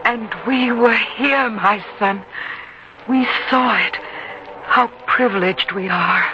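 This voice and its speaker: An actress playing a wise mother in an old-time radio drama, gently admonishing her grown son in measured, earnest tones. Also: a little high. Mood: awed. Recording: dull, noisy old-time radio recording.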